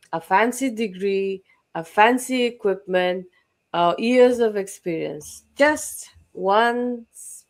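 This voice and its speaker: A woman hosts a motivational online talk in an earnest way. She repeats phrases for emphasis and lists points one by one. Her pitch sits in a middle range for a woman. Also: darkish tone. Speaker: host.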